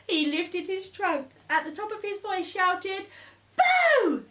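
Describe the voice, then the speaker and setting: A woman reading a children's storybook aloud, with expressive, deliberate phrasing and emphatic repetition. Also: very high voice. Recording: slightly distant microphone.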